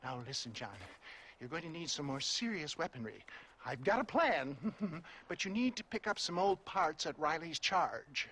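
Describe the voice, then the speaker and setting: A man's voice: a little high, very animated, normal speed, a bit bright, slightly rough, heavily acted. An actor playing a flamboyant, theatrical older con-man selling health tonics, with a chuckling, wheedling manner. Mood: theatrical scheming. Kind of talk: video game cutscene acting.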